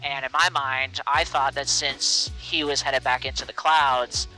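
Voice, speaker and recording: A man's voice: mid-range voice, fairly even intonation, a little slow, sharp tone, faint music behind, low-quality recording. A young man speaking casually and earnestly as an interview guest, an air traffic controller who encourages listeners and repeats words for emphasis. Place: small aircraft cockpit in flight.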